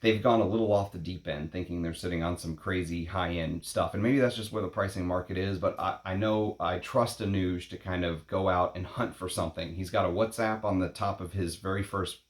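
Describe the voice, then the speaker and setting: A man in his late thirties hosting a perfume vlog, talking casually in a rambling, opinionated way, blunt and quick to swear. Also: low voice, steady tone. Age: 30s. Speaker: perfume channel host. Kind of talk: casual unscripted vlog talk. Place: at home in Texas.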